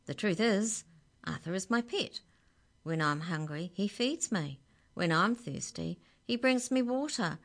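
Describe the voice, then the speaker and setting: A woman voicing a small talking budgie in a storybook, high-pitched, earnest and insistent. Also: expressive, measured pace. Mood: earnest, steadier. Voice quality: high-pitched, squeaky cry. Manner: agitated, insistent.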